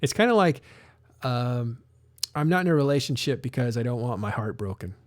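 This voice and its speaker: A man's voice: medium pitch, lively intonation, moderate pace, no room sound, studio quality. A man hosting a casual live stream, talking in a rambling way with many restarts and fillers. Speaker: live show host.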